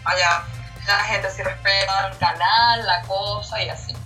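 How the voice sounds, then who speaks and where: Female co-host with a Caracas accent: bright, cheerful, effusive. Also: mid-range voice, steady tone, unhurried, far from the mic, faint music behind, rough recording. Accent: Venezuelan, Caracas. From Venezuela. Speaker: podcast co-host. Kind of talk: casual podcast chat.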